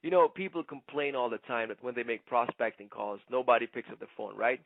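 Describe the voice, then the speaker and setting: A man giving an instructional sales presentation like a trainer, conversational but emphatic, often checking in with a quick "okay?". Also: medium pitch, gravelly, dry recording. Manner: conversational, punctuates points with "okay?". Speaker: network marketing trainer. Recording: muffled, narrow phone-like sound.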